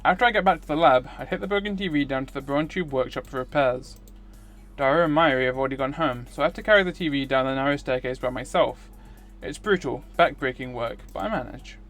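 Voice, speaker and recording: A man's voice: mid-range voice, quick pace, a bit bright, faint music behind. A man streaming a visual novel, reading its narration aloud. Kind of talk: scripted narration read aloud.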